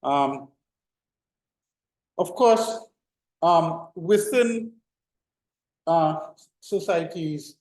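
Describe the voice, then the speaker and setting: A man's voice: a little high, animated, extremely slow, long pauses, darkish tone, reverberant, low-quality recording. A middle-aged man giving an informal classroom lecture, full of fillers and restarts. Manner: rambling, many fillers and restarts. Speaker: university lecturer.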